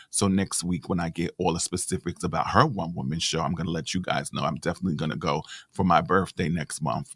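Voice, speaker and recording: A man hosting a casual interview, chatty and encouraging, often affirming his guest with quick backchannels. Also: low-pitched, fairly bright tone, slightly rough, no room sound, clean studio sound. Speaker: host.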